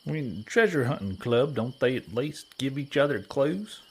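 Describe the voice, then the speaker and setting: A man's voice: mid-range voice, sing-song, normal speed, slightly rough. A man playing a dim-witted, good-natured cowboy prospector in a western audio comedy, with a rural American drawl and folksy bad grammar. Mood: curious.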